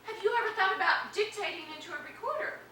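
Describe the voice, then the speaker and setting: An actress playing an earnest hospital counsellor who talks gently and professionally to a patient. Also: female voice, extremely high pitch, fast, slight background noise. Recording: roomy film sound from a distance.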